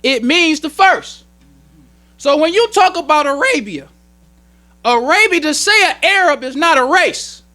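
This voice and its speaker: A man's voice: very high-pitched, pushed and bright. An American man preaching as a minister, explaining religious teachings in an emphatic, colloquial way and repeating his key points. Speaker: minister teaching at a temple meeting.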